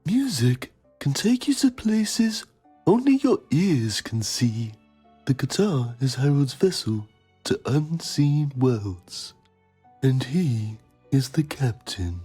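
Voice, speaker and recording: A man's voice: mid-range voice, lively intonation, slow, warm tone, husky, faint music behind. A man voicing a solemn, self-important documentary journalist in a comedy game. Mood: solemn, intrigued. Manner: solemn, pompous. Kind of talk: mock documentary narration.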